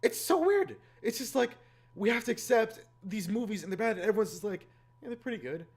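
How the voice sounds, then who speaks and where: Exasperated higher-voiced man ranting over music. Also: lively intonation, brisk. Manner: exasperated, sweary, informal. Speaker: video host and gamer. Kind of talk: casual opinionated rant to camera or mic. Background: background music.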